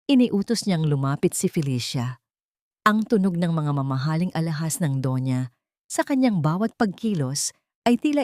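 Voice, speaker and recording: Woman narrator, low pitch, scripted dramatic storytelling, dry close recording. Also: darkish tone, no echo.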